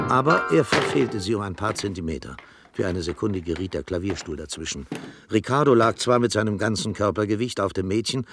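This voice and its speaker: A man with a husky voice narrating a literary radio play in a dramatic storytelling style. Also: on the low side, fairly bright tone, slight background noise.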